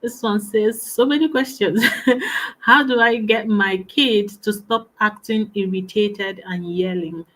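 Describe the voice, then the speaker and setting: A woman hosting, soft-spoken and agreeable, reading out audience questions in a casual chat. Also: medium pitch, slow pace. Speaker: interview host.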